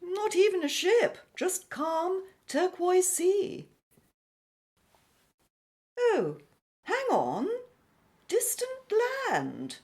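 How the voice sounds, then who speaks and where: Actress as plucky woman explorer, very animated high voice, unhurried, theatrical, radio play. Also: heavily acted. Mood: excited lookout. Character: plucky, keen-eyed female Antarctic explorer. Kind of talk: radio drama acting.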